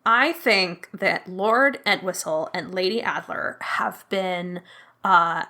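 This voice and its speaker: Unhurried, bright-voiced woman player. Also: medium pitch. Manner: thinks aloud, unhurried, with many fillers. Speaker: guest player. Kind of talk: playing a tabletop mystery game.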